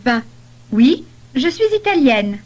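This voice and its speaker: Woman as polite young tourist, language course dialogue, high-pitched, animated intonation, deliberate delivery. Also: exaggerated voice. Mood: polite, helpful. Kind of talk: scripted French lesson dialogue.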